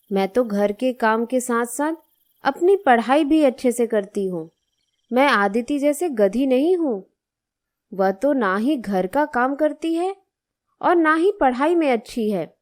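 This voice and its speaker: A woman's voice: high voice, a little mellow. A woman voicing a hurt, earnest young schoolgirl in a moral-story cartoon, speaking in a plaintive, dutiful way.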